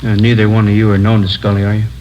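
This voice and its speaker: A man's voice: fairly low pitch, monotone, rapid-fire, close to an everyday voice. A man playing a decisive American police captain in a 1950s radio drama, matter-of-fact and authoritative. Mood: decisive, businesslike. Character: brisk, decisive male police captain in charge. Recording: muffled old radio recording, faint noise.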